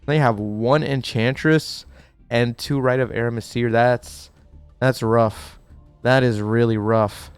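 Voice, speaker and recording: A young man hosting a gaming channel, chatting in a rambling, excitable way while sick with a cough, with a mic set close to him. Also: medium pitch, slow, smooth, no echo, faint music behind. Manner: excitable, rambling, swears casually. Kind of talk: casual reaction commentary. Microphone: desk mic set too close.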